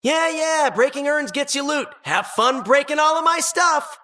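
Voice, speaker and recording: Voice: high voice, measured pace, pushed and bright. A performer voicing a sardonic, menacing dungeon host in a video game, with dramatic mock-cheerful patter. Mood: exasperated. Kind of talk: video game character voice lines.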